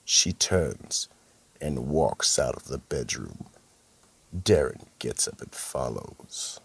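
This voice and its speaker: Man doing ominous radio mystery narrator, super deep, really raspy, deliberate. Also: animated, exaggerated voice. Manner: slow, deliberate storytelling. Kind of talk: scripted radio drama narration.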